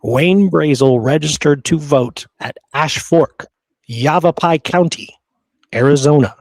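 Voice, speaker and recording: A man's voice: on the high side, measured pace, a little mellow, dry recording. A man hosting a history podcast, telling the story in an informal, conversational way and often checking in with the listener. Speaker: podcast host.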